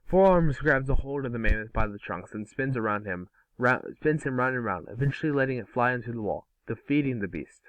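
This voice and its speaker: A man's voice: medium pitch, expressive, a little fast, few pauses, fairly bright tone, no echo. A man reading out stage directions in scripted narration for a table read. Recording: distant microphone.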